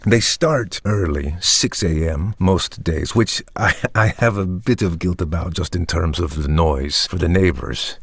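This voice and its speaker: An actor playing a self-satisfied, polished tech entrepreneur in an audio comedy play, talking in a measured, self-assured way with a low, husky voice. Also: male voice, dry recording, full character voice.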